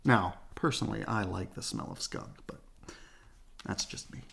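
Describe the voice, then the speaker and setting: A storyteller reading a children's picture book aloud in an expressive, deliberate way with a playful touch. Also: low voice, vocal fry, slight background noise. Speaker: storybook reader.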